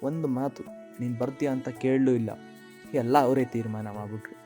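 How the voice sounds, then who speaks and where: A man's voice: medium pitch, steady tone, moderate pace, mellow, soft background music, lightly acted. A man voicing a kind-hearted, jobless young man in a cartoon story, earnest and reflective. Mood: hurt, dejected. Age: young adult. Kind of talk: dramatic monologue and dialogue in cartoon story.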